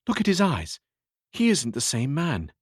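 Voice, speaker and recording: A man voicing a cheerful, excitable male friend at a sickbed, in a raised voice. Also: on the high side, measured pace, breathy edge, no echo, studio quality, voice pushed up, heavily acted.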